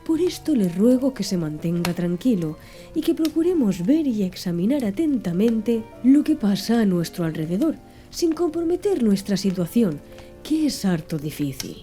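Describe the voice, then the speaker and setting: A woman narrating an audiobook in a soft, slow, hushed ASMR style. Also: medium pitch, very animated. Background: faint background music.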